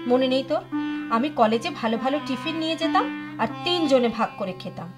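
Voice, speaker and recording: High-voiced wistful rich man's wife acted by a woman. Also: expressive, moderate pace. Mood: concerned, insistent. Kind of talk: Bengali audio drama acting. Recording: studio drama with sitar music behind.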